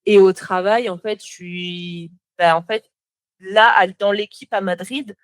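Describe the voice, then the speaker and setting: A woman's voice: medium pitch, fairly even intonation, measured pace, no room sound. A young French woman, a motion designer, chatting as a podcast guest, talking freely with lots of fillers and restarts. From France. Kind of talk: casual podcast conversation.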